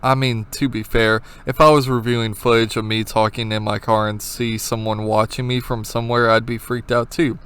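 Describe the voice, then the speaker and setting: A man with a medium-pitched voice narrates horror commentary. He explains things step by step in informal wording. Casual asides break up the narration. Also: flat delivery, normal speed, few pauses, fairly bright tone, clear, resonant tone. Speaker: video essayist, horror series analyst.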